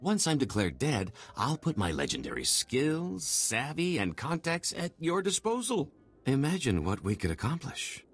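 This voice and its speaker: A man playing a slippery, boastful middle-aged fugitive con artist in a video game, talking in theatrical, wheedling salesman patter with a husky voice. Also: medium pitch, huge pitch swings, moderate pace, faint music behind, heavily acted. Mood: pleading, persuasive.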